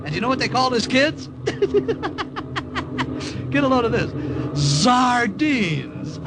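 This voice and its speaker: An American actor playing a wisecracking, excitable wartime airman who narrates his mission in an old radio drama. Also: extremely high pitch, slow pace, gravelly, heavy background noise, heavily acted. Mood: joking. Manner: jokey, excitable. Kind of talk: 1940s-50s radio drama acting, part narration. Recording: old radio recording with loud music.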